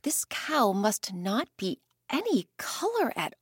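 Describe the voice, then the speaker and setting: A woman playing a calm, wise village woman. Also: mid-range voice, unhurried, no echo, clean studio sound. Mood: pondering.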